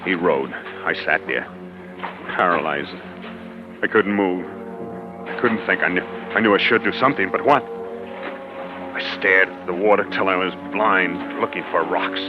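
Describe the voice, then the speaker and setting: An actor playing a frightened, suspicious man who narrates tensely and dramatically in a 1940s radio suspense play. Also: low voice. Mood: rising panic. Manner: tense, brooding, dramatic delivery. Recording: dull old radio recording with music.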